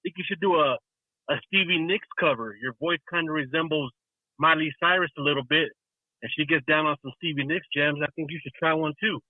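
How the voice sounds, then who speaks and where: A man's voice: on the high side, a little fast, dry recording. A man calling in to a radio show, friendly and chatty. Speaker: caller. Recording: dry tinny phone line.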